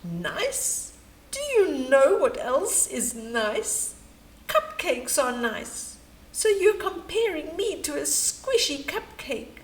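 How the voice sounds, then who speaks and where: A woman's voice: high-pitched, big pitch swings, slow pace, pitched above the performer's own voice, exaggerated voice. A woman voicing a big, oversensitive female hippo from a children's storybook, weepy, wailing and indignant. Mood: offended, indignant.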